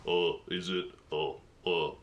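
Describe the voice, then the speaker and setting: A man acts a hesitant guest in a Christmas radio play. The voice is deep and slow. The speech is halting and full of stammers. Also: fairly even intonation, fairly bright tone. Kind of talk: hobby radio play acting.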